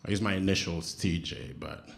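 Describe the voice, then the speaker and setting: A Haitian man whose first language is Haitian Creole, performing an animated stand-up set on a comedy stage and jumping into high mock voices for punchlines. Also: normal speed, gravelly. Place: comedy stage before a laughing crowd. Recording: loud noise, audience laughter.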